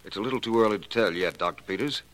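An actor playing a suave, probing gentleman detective in a 1940s radio drama, polite and pointed in his questioning. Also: on the low side, rapid-fire, close to an everyday voice, poor audio. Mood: probing, wry.

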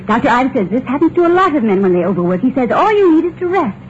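A woman playing a loving, worried wife who keeps trying to calm her frantic husband in an old radio drama. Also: high voice, quick pace. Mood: soothing worried. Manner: soothing and pleading. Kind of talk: 1950s radio drama acting. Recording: dull lo-fi old radio recording with hiss.

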